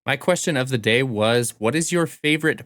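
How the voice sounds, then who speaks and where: A man's voice: mid-range voice, brisk, fairly bright tone. A man talking casually as a podcast host, recounting things in everyday conversational language. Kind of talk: casual storytelling chat about games.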